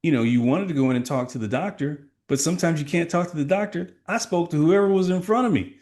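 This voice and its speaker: A man's voice: fairly high pitch, fast talker, a little mellow. Emphatic middle-aged man from Texas. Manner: emphatic, motivational, asks rhetorical questions. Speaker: sales coach guest. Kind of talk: podcast interview.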